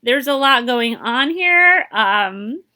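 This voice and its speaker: A woman reading a picture book aloud to children as a librarian, expressive and explanatory, putting questions to the listener. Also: sits high, slow.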